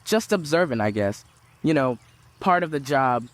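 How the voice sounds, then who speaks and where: A man's voice: mid-range voice, animated, normal speed, fairly bright tone, lightly acted. A man playing an awkward, eager rickshaw driver in an audio drama, hesitant, stammering and nervously chatty.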